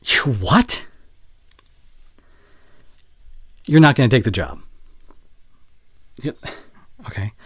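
A man playing a caring, worried boyfriend in an intimate audio roleplay, with a soft, breathy voice and tender, halting delivery close to the microphone. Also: medium pitch, dry recording. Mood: upset, pleading. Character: caring, worried young boyfriend, gentle and earnest. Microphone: close studio condenser microphone.

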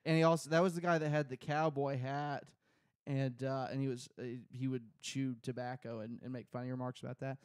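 A man's voice: mid-range voice, flat delivery, brisk, clear, resonant tone, dry recording, studio quality. A man in his thirties co-hosting a podcast in casual chat, rambling with crude jokes and lots of fillers. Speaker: podcast co-host. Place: small home room. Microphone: close studio mic on boom, headphones.

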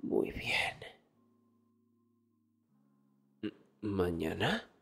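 A man playing an arrogant nerdy young student in an intimate ASMR roleplay, hushed and often dropping into whispers. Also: low-pitched, sing-song, slow pace, creaky, breathy edge, soft background music, exaggerated voice. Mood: flustered, teasing. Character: arrogant nerdy young male student, exasperated, teasingly dominant. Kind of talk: Spanish ASMR boyfriend roleplay, intimate one-sided dialogue.

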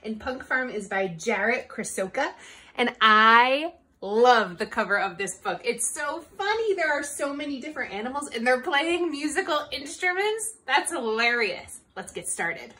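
A woman in her thirties reading a picture book aloud to young children in an expressive, engaging way. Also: sits high, normal speed. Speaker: storybook reader for children. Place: small living room, indoors on a couch.

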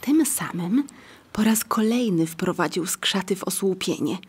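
Female narrator for a children's tale, mid-pitched, very husky and breathy, reading from script. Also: lively intonation, measured pace.

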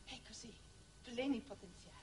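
An actress playing a matter-of-fact young nurse who is envoy of a colony, in 1960s Italian television drama style. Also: female voice, a little high, normal speed, close to an everyday voice. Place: television studio stage. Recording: noisy old 1960s television soundtrack.

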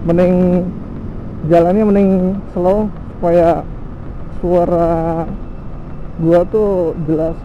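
Slow-talking young man vlogging on a motorbike. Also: high-pitched, little pitch movement, dull audio. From Indonesia. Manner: slangy, joking, laughs often. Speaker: motovlogger. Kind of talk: casual motovlog chat while riding. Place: outdoors on a motorcycle on a tree-lined road. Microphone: helmet-mounted action camera mic. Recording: wind-buffeted helmet mic, engine noise.

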